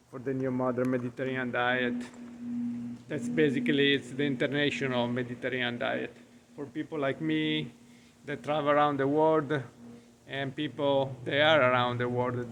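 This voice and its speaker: A man's voice: mid-range voice, fairly bright tone, slight background noise. A middle-aged man from Italy with an Italian accent, a chef talking through his food in a rambling, hesitant way full of ums. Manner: rambling, frequent ums and uhs, hesitant English. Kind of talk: casual cooking vlog walk-through. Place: outdoors by the Gulf of Mexico in Florida.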